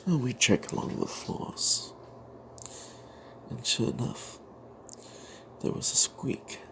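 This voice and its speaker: A man telling an off-the-cuff fantasy game story in ASMR style, breathy and half-whispered, halting, with playful old-fashioned flourishes. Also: medium pitch, sing-song, noisy background. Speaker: storyteller narrating a tabletop game tale.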